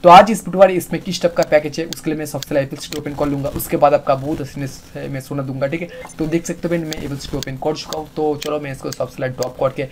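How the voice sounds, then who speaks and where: A man's voice: fairly high pitch, steady tone, fast talker, hardly any pauses, noisy background. A man with an Indian accent narrating a screen-recorded tutorial in a repetitive, instructional manner. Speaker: music producer and channel host.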